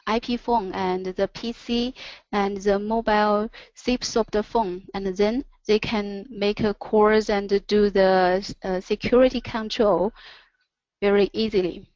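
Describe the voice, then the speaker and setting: Halting non-native woman presenter, dull audio. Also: medium pitch, fairly even intonation, a little mellow, dry recording. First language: not English. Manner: halting, pausing between short phrases. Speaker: webinar presenter, company product representative. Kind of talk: product presentation over slides.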